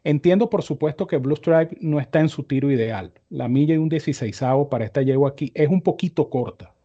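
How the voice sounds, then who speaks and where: Mellow male racing analyst, dull audio. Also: a little high, brisk, breathy edge. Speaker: horse racing analyst and co-host. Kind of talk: racing analysis and tipping commentary. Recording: slightly dull, lo-fi sound.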